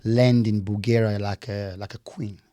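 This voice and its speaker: A man's voice: fairly low pitch, level tone, moderate pace, dark tone. A man hosting a podcast, interviewing in a casual style and often repeating words and phrases back. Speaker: podcast host.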